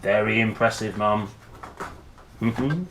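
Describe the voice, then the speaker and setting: A man hosting a children's read-aloud, telling a picture book in a playful, expressive, dramatic way with chatty asides. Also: on the low side, slow pace. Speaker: host reading picture books aloud. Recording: roomy echo, faint background noise.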